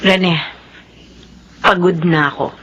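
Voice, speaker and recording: A woman's voice: on the low side, steady tone, takes it slowly, a bit bright, a little hiss or hum. A woman playing a long-suffering, aggrieved middle-aged Filipina wife and mother in a radio drama, plaintive and emotional. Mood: weary, aggrieved. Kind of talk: Philippine radio drama acting.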